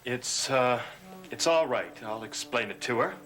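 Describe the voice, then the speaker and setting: Soap son: young, evasive, soothing but guarded, medium register, score behind the dialogue. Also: male voice, medium pitch, lively intonation, a little slow, a bit bright, soft background music, rough recording. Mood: guarded, placating. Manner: hesitant, placating. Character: evasive young man, placating his mother. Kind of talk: gothic soap opera acting.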